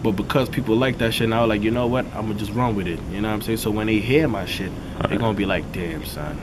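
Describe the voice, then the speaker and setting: A man with a raspy, dark voice, a rapper talking casually in an interview and peppering his talk with "you know what I'm saying". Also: on the low side, fast talker, background noise. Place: outdoors in a city neighborhood.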